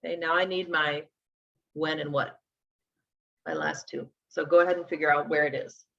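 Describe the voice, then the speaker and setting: A woman's voice: low-pitched, expressive, normal speed, vocal fry, a bit bright. A woman in her forties teaching a live workshop, conversational as she talks through each step aloud. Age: 40s. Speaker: instructor. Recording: dull lo-fi sound.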